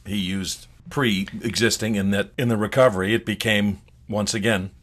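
A man commenting conversationally in a measured way, with formal word choice. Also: fairly low pitch, fairly even intonation, fairly bright tone.

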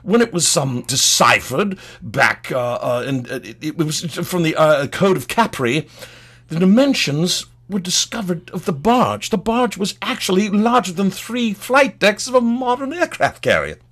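A man playing a pompous, eccentric antiquities scholar, easily thrilled, with a halting, stammering, digressive delivery in a comedy lecture. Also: high-pitched, sing-song, a bit bright, slightly rough, exaggerated voice. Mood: enthused, fascinated. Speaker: guest. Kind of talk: improvised-sounding comic lecture in character.

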